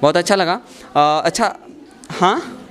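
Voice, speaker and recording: A man's voice: high voice, measured pace, a bit bright, a little hiss or hum. A young man hosting a playful classroom quiz on a handheld mic, chatty and teasing as he questions people. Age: young adult. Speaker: quiz host. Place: large school classroom, indoors. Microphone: handheld microphone. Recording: classroom with audible crowd of students. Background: crowd of students.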